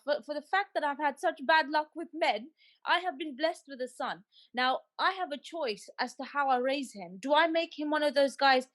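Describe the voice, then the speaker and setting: High-voiced woman speaking with emphasis. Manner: emphatic, heartfelt, repeats for stress. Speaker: panel guest. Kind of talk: personal testimony in a panel discussion.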